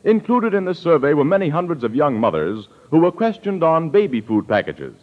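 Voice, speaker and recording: Old time american radio announcer guy, highish voice, big swooping delivery, polished sponsor reads, muffled recording. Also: big pitch swings, brisk. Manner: polished, declamatory. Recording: dull old radio recording, music behind.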